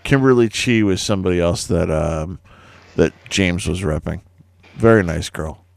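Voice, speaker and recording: Low gravelly-voiced male host. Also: normal speed, no echo. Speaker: podcast host. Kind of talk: casual chat.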